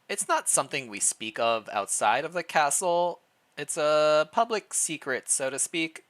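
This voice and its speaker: A man's voice: fairly high pitch, voice pushed up. Man reading as composed, formal royal chamberlain woman. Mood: calm, formal. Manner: measured, formal, rehearsed calm. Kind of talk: storybook character reading.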